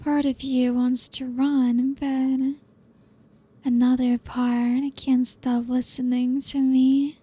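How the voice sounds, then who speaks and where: A woman voicing a possessive, seductive female vampire in an ASMR roleplay, speaking softly and slowly as she dotes and commands. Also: sits high, level tone, breathy edge, exaggerated voice. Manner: slow, soft, doting and controlling.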